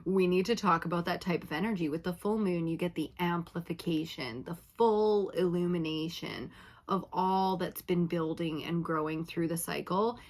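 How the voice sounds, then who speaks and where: A woman reading tarot for her viewers in a casual, chatty way, her voice slightly raspy from hours of talking, often checking in with "okay?". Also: lowish voice, moderate pace. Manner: chatty, laughing, uses filler like "okay?". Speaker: tarot reader.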